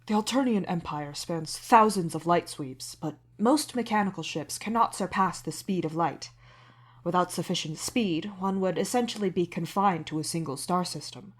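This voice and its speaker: A woman's voice: on the low side, close to an everyday voice. A woman voicing a broad-shouldered male alien troll engineer in an audio story, formal, precise and serious. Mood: formal, matter-of-fact. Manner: formal, precise, measured explaining. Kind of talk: podfic character acting.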